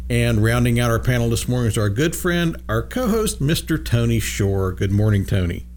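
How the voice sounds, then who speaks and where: A man's voice: medium pitch, big pitch swings, normal speed, a little mellow, slight background noise. A man hosting a financial radio show from a studio, chatting casually with many fillers and restarts. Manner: easygoing, frequent fillers and restarts.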